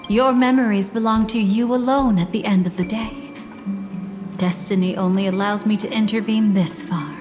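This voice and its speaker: A woman's voice: medium pitch, fairly even intonation, music in the background, lightly acted. Enigmatic woman in long reverb, acted. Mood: solemn, guiding. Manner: measured, cryptic, solemn. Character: enigmatic, composed woman guiding a dreamer toward destiny. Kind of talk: scripted video game dialogue.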